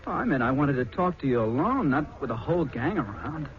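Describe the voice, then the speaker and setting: A shy, yearning young nephew with artistic dreams. He is boyish and hesitant, stammering with a lively, swooping pitch in 1940s radio style. The recording is dull with faint noise. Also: brisk. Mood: awkward, eager. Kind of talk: 1940s radio drama acting.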